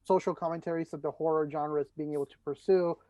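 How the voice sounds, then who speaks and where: A man's voice: a little high, steady tone, fast talker, warm tone. A man from El Salvador, talking casually as the host of an interview podcast. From El Salvador, moved to the United States as a child. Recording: dull, dry lo-fi microphone.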